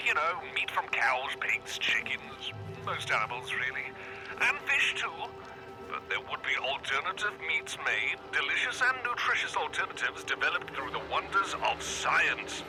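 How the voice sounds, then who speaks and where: An actor playing a smug, callous, ultra-rich tycoon who speaks in a pompous, condescending, theatrical way, as if interviewed on satirical radio. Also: male voice, mid-range voice, very animated, moderate pace, natural voice, rough recording. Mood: smug, lecturing. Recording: music behind the voice.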